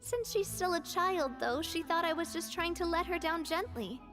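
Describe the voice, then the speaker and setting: Young woman boss, high voice, spirited, friendly, earnest, video game dialogue, background music. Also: expressive, moderate pace. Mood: animated, earnest.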